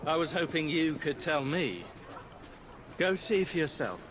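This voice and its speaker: An actor with a British accent playing a weary, earnest Victorian police inspector in a video game, speaking in a grave, formal, measured way. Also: male voice, a little high, very animated, noisy background. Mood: grim, weary. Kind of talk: dramatic video game cutscene acting.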